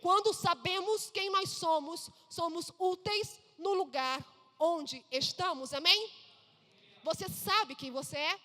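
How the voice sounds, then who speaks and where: Woman pastor, Brazilian accent, emphatic sermon, high voice, long church reverb. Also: slow, pushed and bright. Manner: emphatic, calls for audience responses.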